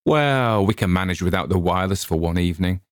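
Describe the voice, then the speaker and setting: A man playing a protective father in an audio story, brisk and casual as he keeps his family calm. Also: low-pitched, no echo, clean studio sound. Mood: reassuring. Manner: brisk, reassuring, forcedly casual.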